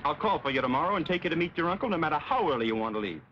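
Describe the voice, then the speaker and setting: An actor playing a breezy, cocky young playboy with a flippant, clipped manner in a 1940s film serial. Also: male voice, on the high side, big pitch swings, rapid-fire, slight background noise. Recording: dull 1940s film soundtrack, loud music.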